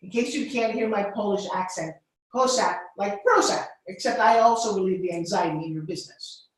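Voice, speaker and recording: A woman's voice: mid-range voice, brisk. A woman business coach giving a live workshop, direct, blunt and playful as she gives feedback. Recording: very echoey room, mic far off.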